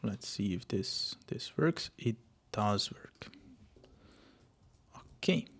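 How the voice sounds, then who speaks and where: A man, a software engineer thinking aloud while he codes, halting and often dropping into muttered whispers. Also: lowish voice, lively intonation, creaky, breathy edge. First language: Serbian.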